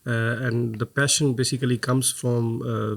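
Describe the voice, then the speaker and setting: A man's voice: mid-range voice, level tone, moderate pace, creaky. Earnest man. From Pakistan. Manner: earnest, many "uh" hesitations and restarts. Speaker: guest, head of green fuels. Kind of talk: podcast interview.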